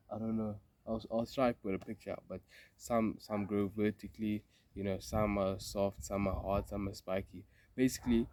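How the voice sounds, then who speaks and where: A young man with a cold, talking in a relaxed, reflective way with many fillers and restarts while sitting outdoors in a garden. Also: on the low side, slight background noise. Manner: thoughtful, meandering, with frequent fillers and restarts. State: congested from a cold. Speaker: vlogger. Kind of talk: casual reflective vlog.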